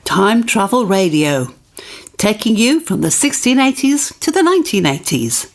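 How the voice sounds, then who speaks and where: A woman's voice: mid-range voice, very animated, normal speed, slight background noise. A woman reading a scripted radio programme trailer as announcer.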